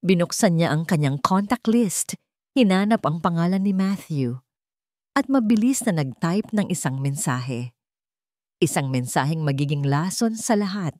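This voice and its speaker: A woman's voice: fairly low pitch, expressive, measured pace, a little mellow, no room sound, studio quality. A woman narrator reading a scripted romantic drama story in a dramatic storytelling style.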